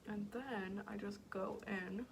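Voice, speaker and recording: Raspy young woman chatting through makeup. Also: medium pitch, steady tone, slow, a little hiss or hum, low-quality recording. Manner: chatty, slips into whispers while working. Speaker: beauty vlogger. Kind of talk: casual makeup tutorial. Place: home, at a mirror.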